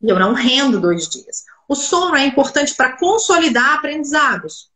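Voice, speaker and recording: A woman's voice: high voice, expressive, normal speed, fairly bright tone. A woman speech therapist, congested a cold, explaining things in an interview and answering her own rhetorical questions. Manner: asks rhetorical questions and answers them herself. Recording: roomy echoey room sound.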